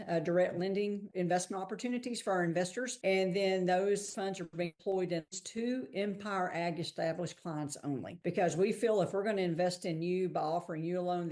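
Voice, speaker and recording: A woman's voice: lowish voice, a little mellow. A middle-aged woman from Texas with a Texan accent, answering interview questions in a folksy, conversational way. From Texas, United States. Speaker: podcast guest, agricultural investment executive.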